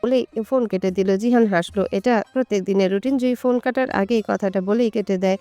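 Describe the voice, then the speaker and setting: A woman narrates a scripted romance. Her voice is smooth and medium-pitched, recorded dry and close. Faint music plays behind her. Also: brisk, warm tone, dry recording. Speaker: storyteller.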